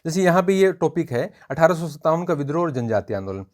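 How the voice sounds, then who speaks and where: Man explaining in a measured, informal way. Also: on the high side, sing-song, darkish tone. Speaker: history exam coach reviewing a book. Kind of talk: informal spoken review for students.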